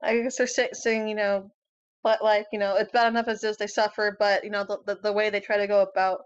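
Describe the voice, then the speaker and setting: Woman, highish voice, casual read-aloud co-host, hesitant, dry and dull lo-fi recording. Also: flat delivery, brisk, clear, resonant tone, dry recording. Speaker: co-host reading fan fiction aloud. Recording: dull, lo-fi, dry close sound.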